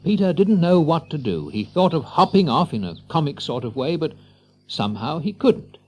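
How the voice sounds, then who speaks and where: A man narrating a children's story with an expressive, theatrical storyteller's delivery. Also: on the high side, moderate pace, husky.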